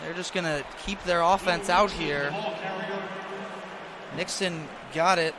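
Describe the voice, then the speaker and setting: A man's voice: on the high side, fairly even intonation, slow pace. An American man doing live play-by-play radio commentary on a football game, describing the action in clipped phrases as it unfolds. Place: stadium broadcast position. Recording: noisy stadium broadcast with crowd roar.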